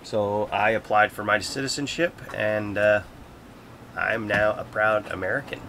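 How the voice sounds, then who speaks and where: A man's voice: fairly low pitch, fairly even intonation, measured pace, sharp tone, a little hiss or hum. A man from Canada with a Canadian accent, co-hosting a vlog in casual chat. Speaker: co-host of a sailing vlog. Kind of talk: casual chat, answering viewer questions.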